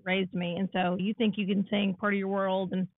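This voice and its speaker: Raspy-voiced woman, phone-like sound. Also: medium pitch, level tone, normal speed. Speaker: podcast co-host. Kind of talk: casual chat.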